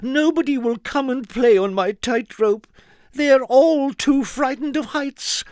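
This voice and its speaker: A man reading a storybook aloud, voicing a lonely, tearful little woman who walks a tightrope, in a high, sobbing voice. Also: measured pace, darkish tone, clean tone, no echo, studio quality. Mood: tearful pleading.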